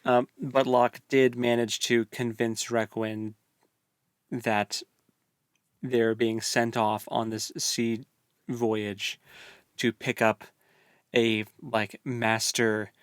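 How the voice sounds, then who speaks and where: A man's voice: medium pitch, flat delivery, extremely slow, long pauses, smooth, no echo. Easygoing man on a dry mic. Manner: rambling, lots of fillers and restarts, easygoing. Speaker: book podcast co-host. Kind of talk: casual book discussion.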